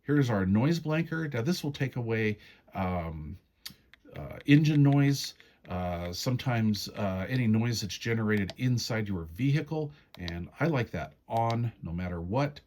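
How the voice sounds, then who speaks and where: A man's voice: medium pitch, expressive, measured pace, darkish tone, a little hiss or hum. A man giving a casual, hands-on product walkthrough in a folksy, step-by-step, conversational way. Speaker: radio reviewer demonstrating menu settings.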